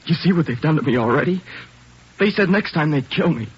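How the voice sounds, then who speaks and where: A man's voice: on the high side, expressive, fairly quick, close to an everyday voice. An actor playing a frightened, shaken teenage boy who pleads in a strained voice in a vintage radio drama. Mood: terrified. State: shaken, near tears. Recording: muffled old radio recording.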